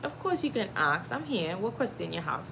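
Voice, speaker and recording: A woman's voice: medium pitch, big pitch swings, moderate pace, vocal fry, noisy background. Encouraging Trinidadian woman on a livestream. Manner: warm-hearted, encouraging, laughs often, reads comments aloud. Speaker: live stream host. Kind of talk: casual livestream chat with viewers.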